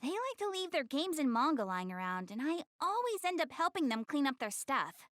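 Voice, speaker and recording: High girlish voice, young anime game goddess, swooping intonation, prim, proud, easily flustered, dry studio sound. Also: big pitch swings, fairly bright tone, no room sound. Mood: bashful, defensive. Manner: prim, flustered, stammering, quick to backtrack. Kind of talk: anime game English dub.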